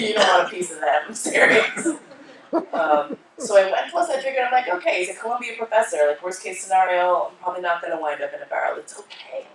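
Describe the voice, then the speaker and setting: A woman's voice: lowish voice, expressive, quick pace, a bit bright, a little hiss or hum. A woman giving a keynote talk to a live audience, telling her story in a frank, profane and comic way. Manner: frank, profane and comic, acting out her own past lines. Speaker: keynote speaker. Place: conference hall. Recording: roomy hall, voice from far off.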